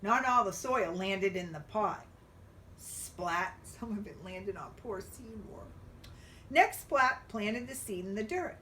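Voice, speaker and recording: A woman reading a picture book aloud to young children, expressively and with drawn-out emphasis. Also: medium pitch, lively intonation, pushed and bright, far from the mic, a little hiss or hum. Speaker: children's storybook reader.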